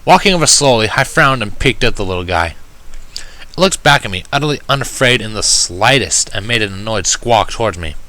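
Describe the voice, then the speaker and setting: Bright-voiced man reading a story. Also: lowish voice, expressive, a little fast, poor audio. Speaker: fan fiction reader. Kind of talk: first-person audiobook-style story narration.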